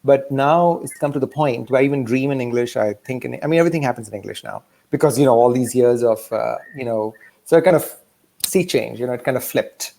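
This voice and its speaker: A middle-aged man from Pakistan, an author guest who talks in a halting way with many fillers and restarts. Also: medium pitch, creaky, warm tone. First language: a language of Pakistan. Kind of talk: casual interview talk.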